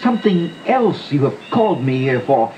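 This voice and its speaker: A man playing a concerned, earnest gentleman in a gothic audio drama, theatrical in delivery, recorded on an old cassette. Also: on the high side, very animated, moderate pace, lo-fi sound, background noise. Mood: urgent, puzzled. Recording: old cassette tape dub with music. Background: music.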